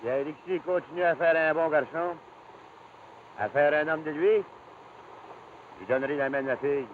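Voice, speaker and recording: An actor playing a measured rural father and logging boss in a 1950s television drama, with a Quebec French accent. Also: fairly high pitch, narrow, tinny audio, close to an everyday voice. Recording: thin, noisy old television soundtrack.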